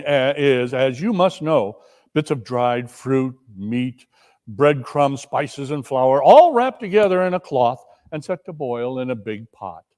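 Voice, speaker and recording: A man giving a theatrical storybook narration, deliberate and expressive. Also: mid-range voice. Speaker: narrator in a dramatic reading.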